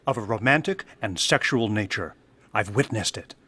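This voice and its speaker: An actor playing an officious subordinate in an audio drama, stiff and formal, with a husky, tense voice. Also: male voice, on the low side, lively intonation, moderate pace, sharp tone, dry recording, full character voice.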